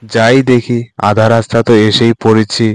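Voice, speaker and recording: A man's voice: mid-range voice, little pitch movement, moderate pace, clear, resonant tone, lightly acted. A man playing a poor, weary village fisherman in a cartoon story, sighing and plaintive. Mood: worried. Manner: plaintive, sighing, muttering to himself. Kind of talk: amateur cartoon story dialogue. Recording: loud background music under voice. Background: music.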